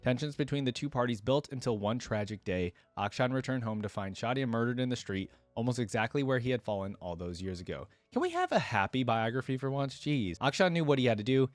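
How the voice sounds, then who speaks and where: A man's voice: medium pitch, lively intonation, fairly quick, few pauses, no echo. A man with a mid-range voice hosts a reaction video. He moves between reading a story aloud and offering casual commentary, recorded dry and without room sound.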